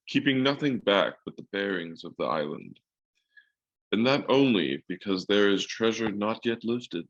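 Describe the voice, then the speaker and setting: Male storyteller, acted, very low voice, literary and solemn radio drama narration, lo-fi recording. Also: heavily acted. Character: grown man solemnly recalling his boyhood adventure. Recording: dull lo-fi audio.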